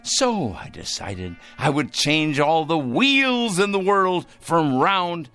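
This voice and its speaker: Excitable paranoid crank, wildly swooping voice. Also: male voice, a little high, takes it slowly, smooth, faint music behind, exaggerated voice. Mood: smug scheming. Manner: fussy, excitable, theatrical ranting. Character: paranoid, self-righteous crank obsessed with punishing evil people. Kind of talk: dramatic radio play acting.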